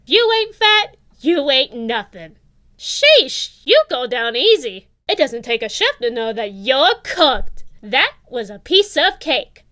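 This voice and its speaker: Bright, high sassy animatronic chicken. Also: female voice, big pitch swings, slow pace, heavily acted. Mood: sassy, gloating. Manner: sassy, punning, exclamatory. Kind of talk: video game character voice lines.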